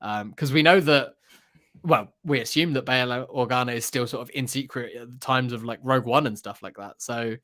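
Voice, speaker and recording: A man's voice: mid-range voice, moderate pace, a bit bright. A man chatting casually about pop culture as a podcast host, hesitant and thinking aloud as he goes.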